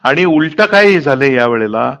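Middle aged guy on a dull lofi phone recording, explaining stuff emphatically, mid pitch voice. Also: lively intonation, a little slow, a bit bright. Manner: emphatic, explanatory, rhetorical questions. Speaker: interviewee on a phone call. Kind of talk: candid political phone conversation. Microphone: telephone.

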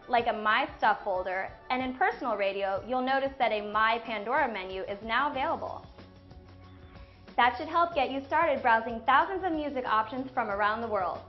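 Female tutorial narrator, higher register, instructional script, music underneath. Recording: slightly dull, music behind.

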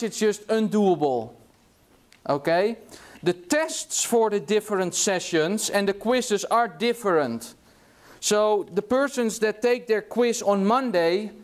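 A middle-aged Dutch man lecturing in a big university hall, his voice sitting noticeably high for a man. He speaks English with a Dutch accent in a loose, chatty way, often tossing a quick "yeah?" to the students. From Netherlands. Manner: casual, chatty, tags remarks with "yeah?". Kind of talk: classroom lecture.

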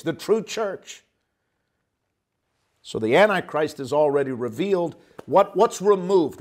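A man in his sixties preaching a sermon from a church stage into a handheld microphone, stressing phrases slowly and emphatically. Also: fairly high pitch. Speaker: pastor. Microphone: handheld microphone at a pulpit.

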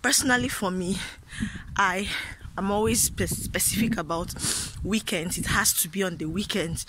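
A young woman from Nigeria holds her phone at arm's length on a quiet street and talks easily to her viewers. Her upbeat, rambling delivery is full of small restarts. Clear background noise sits under the outdoor phone recording. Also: mid-range voice, normal speed, rough recording. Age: young adult. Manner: chatty, restarts and fillers, upbeat. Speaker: vlogger. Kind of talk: casual vlog talk to camera.

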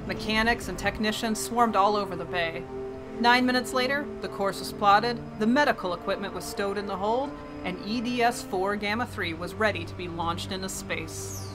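A woman plays a wry, dutiful space pilot telling her story in an audio drama. Her voice is mid-pitched and plain-spoken. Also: moderate pace, music in the background, natural voice. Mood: narrating. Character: wry, dutiful female emergency ship pilot. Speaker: actor. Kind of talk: sci-fi audio drama acting and narration.

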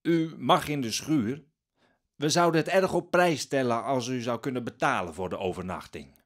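A man's voice: mid-range voice, normal speed, natural voice. Man acting a blunt, wary peasant father. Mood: polite, reluctant. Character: poor, wary, plain-spoken peasant father of a family. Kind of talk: radio drama acting.

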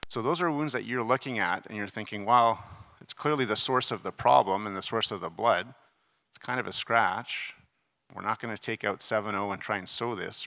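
A man's voice: fairly low pitch, creaky, bright, forceful tone. A middle-aged man presenting at a conference podium, explaining in a conversational, emphatic way with casual asides. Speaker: surgeon giving conference debate presentation. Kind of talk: conference lecture with slides. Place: large conference hall, at a podium. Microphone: podium microphone. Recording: reverberant conference hall PA.

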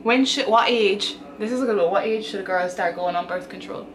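A woman's voice: mid-range voice, brisk, a little hiss or hum, poor audio. A young Irish woman hosting a casual get-ready vlog, chatty and giggly, in a small bedroom. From Ireland. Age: young adult. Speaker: vlogger, host. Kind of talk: casual chat.

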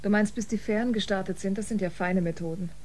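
A woman's voice: mid-range voice, fairly even intonation, fast talker, a little mellow, natural voice. Woman playing a wary, defiant space traveller. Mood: indignant, suspicious. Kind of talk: sci-fi radio drama acting.

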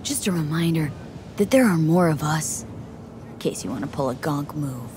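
A woman's voice: low voice, expressive, normal speed, noisy background. An actress playing a cocky, hard-edged female mercenary in a video game, sardonic and unflappable. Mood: cool, sardonic. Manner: sardonic, street-slang, unflappable. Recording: music behind.

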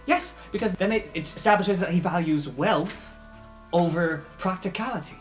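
A low-pitched woman plays in a tabletop roleplaying game. She improvises in a casual, conversational style. Quiet music is heard behind her. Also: normal speed. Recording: music bed under the voice. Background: background music.

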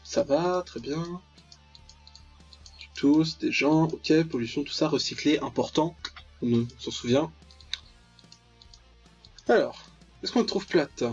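High-voiced young man, jokey game commentary. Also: slow, pauses often, a little mellow. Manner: jokey, mock-dramatic, mutters asides and reads text aloud. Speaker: gaming video host. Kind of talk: casual playthrough commentary of a visual novel. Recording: dull lo-fi voice track over clear music.

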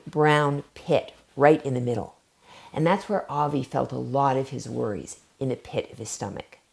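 A middle-aged woman speaks to children in a low, gravelly voice that swoops up and down with lively expression. She stretches key words out like a storytime reader while showing a craft. She sits in a home library, recorded by a camera set a little way off. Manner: warm storytime delivery, drawn-out emphasis. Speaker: children's book author. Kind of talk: reading aloud and craft demo for kids. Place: home library, seated in armchair before bookshelves.

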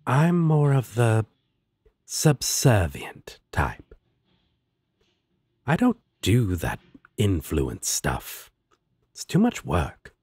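A man's voice: lowish voice, wildly swooping pitch, drawn-out words, long pauses, darkish tone, breathy edge, dry recording, studio quality, full character voice. A man playing a laid-back, sarcastic, protective demon roommate in an audio roleplay, with a drawling manner. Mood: lazy, unbothered. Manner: drawling, sardonic.

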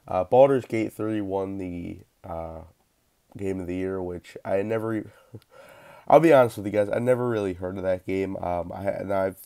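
A man's voice: on the low side, vocal fry, warm tone. A young man hosting a solo gaming podcast while sick and stuffy, rambling casually with lots of fillers and restarts. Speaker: podcast host.

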